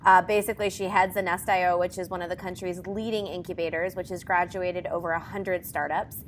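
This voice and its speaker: A woman's voice: little pitch movement, a little fast. A woman hosting a casual interview, talking in an informal, enthusiastic and chatty way. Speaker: interviewer, host. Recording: faint background noise and rumble.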